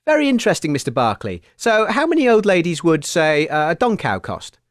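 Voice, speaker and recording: A man's voice: a little high, huge pitch swings, brisk, no echo, studio quality. A man co-hosting a comedy radio show in a mock-formal, bemused presenter manner.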